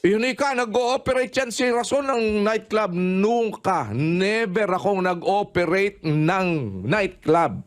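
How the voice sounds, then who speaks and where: Slow, high-voiced man, emphatic.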